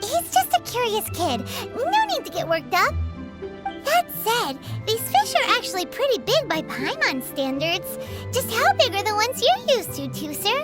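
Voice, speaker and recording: A woman voicing a tiny childlike fairy guide in a game, chatty, excitable and easily exasperated, with a squeaky voice. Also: unhurried, heavily acted. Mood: curious. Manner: refers to self in third person, squeaky, excitable. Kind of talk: animated game voice acting. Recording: background music over the voice.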